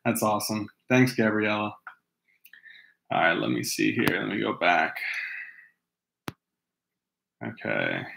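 Thirties male host from Hawaii, lowish voice, casual and easily distracted, laughs a lot, reads fan letters into a webcam at home. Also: slow, vocal fry, long pauses, slight background noise, poor audio. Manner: relaxed, laughs often, easily distracted, frequent restarts. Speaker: host reading fan mail. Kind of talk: casual chat to camera. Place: room at home. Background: dogs barking at times.

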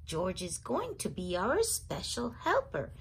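Kindly, orderly male storybook teacher, voiced by a woman, measured and instructive, slightly creaky. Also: medium pitch, huge pitch swings, slight background noise. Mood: pleased announcing.

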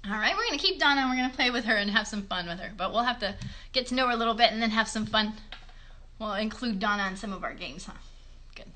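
A woman's voice: medium pitch, animated, quick pace, pushed and bright. A woman in her thirties chatting to children as a puppet show host, encouraging and reassuring. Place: bedroom. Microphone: phone a short distance away.

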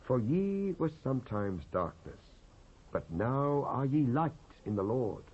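A British man giving a solemn, emphatic, oratorical dramatised reading of King James scripture, with a husky voice, on an old cassette recording. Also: mid-range voice, big pitch swings, a little slow. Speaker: scripture narrator. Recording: dull lo-fi cassette transfer.

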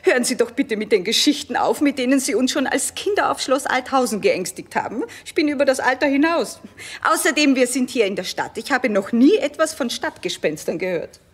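A sceptical countess in a children's radio play. She speaks high and with lively swings, a touch husky, brisk and dismissive toward her servant. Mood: impatient. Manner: brisk, mocking, matter-of-fact.

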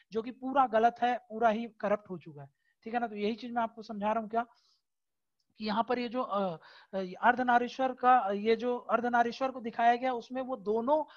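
A man teaching an exam-prep lesson over a screen recording, explaining slowly with many hesitation fillers and constant checks that his students understand. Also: very high voice, muffled recording. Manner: keeps checking understanding with tag questions.

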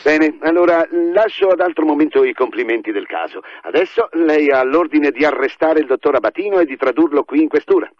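A man's voice: a little high, animated, brisk, few pauses, no room sound. A male actor playing an officious police chief on the telephone in an Italian radio drama, formal and commanding. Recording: dry, muffled telephone line.

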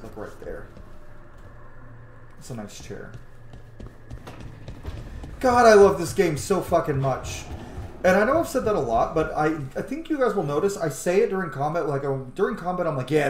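A man's voice: a little high, very animated, moderate pace, pauses often. A man in his thirties giving casual, enthusiastic, swear-heavy commentary while playing a game. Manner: enthusiastic, profane. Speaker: gaming streamer. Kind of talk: casual let's play commentary. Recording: roomy echo, background game ambience noise.

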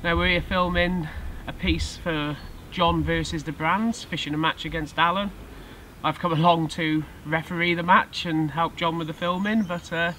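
A teasing man in his thirties chats on a lakeside fishing vlog, fairly high for a man. Faint outdoor noise hangs behind his voice. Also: bright, forceful tone. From England. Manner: teasing, laughing. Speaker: angler and referee. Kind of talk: casual banter on camera. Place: outdoors by a lake.

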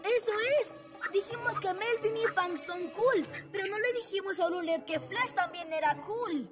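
A woman's voice: extremely high pitch, normal speed. A woman voicing an earnest, determined child superhero with emphatic delivery in a Latin American Spanish cartoon dub. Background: loud background music.

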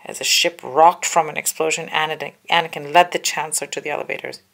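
A woman's voice: low-pitched, fairly even intonation, quick pace, gravelly, a bit bright. A woman reading a children's storybook aloud with careful, dramatic narration. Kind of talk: children's book read-aloud, scripted narration.

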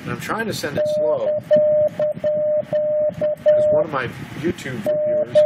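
A man narrating a casual hobby vlog as a radio amateur, informal and halting as he thinks aloud. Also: high voice, huge pitch swings, over loud music. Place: small indoor home radio room. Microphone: handheld camera or phone mic. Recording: very noisy handheld camera, radio noise behind. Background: loud radio noise.

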